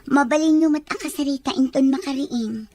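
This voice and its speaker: A woman's voice: a little fast, warm tone, a little hiss or hum, natural voice. A woman playing a deferential, flustered household maid in an Ilocano radio drama, with a Filipino accent. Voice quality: high-pitched.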